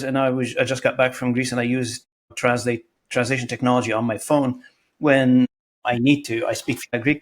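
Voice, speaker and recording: Interview host, male, Arabic native speaker; earnest, thinks aloud, restarts sentences. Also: medium pitch. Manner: earnest, searching, frequent restarts. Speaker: podcast host. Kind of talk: conversational interview.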